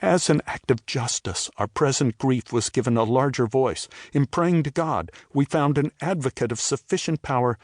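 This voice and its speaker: A man's voice: mid-range voice, no echo. Reflective older man narrating, dry studio sound. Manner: reflective, measured storytelling. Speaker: narrator and playwright telling his own story. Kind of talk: scripted dramatic narration.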